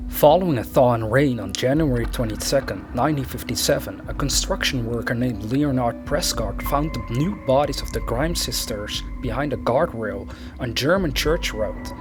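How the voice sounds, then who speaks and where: Man narrating true crime over music. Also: medium pitch. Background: background music.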